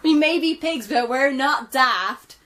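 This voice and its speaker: A woman voicing a group of bold, cheeky young piglets who talk loudly and bossily. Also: high voice, slow, pitched above the performer's own voice. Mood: scolding. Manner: loud, bossy and mocking.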